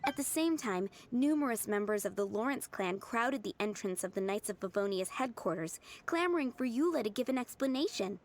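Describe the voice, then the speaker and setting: A woman voicing a gentle young cook. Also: mid-range voice, expressive, a little fast, hardly any pauses, natural voice. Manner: gentle, earnest. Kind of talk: video game voice acting.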